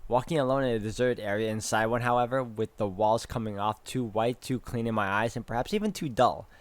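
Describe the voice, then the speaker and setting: A man's voice: medium pitch, brisk, no echo. A man playing through a visual novel, reading its text aloud and adding casual commentary. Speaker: game streamer doing a walkthrough. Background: game music.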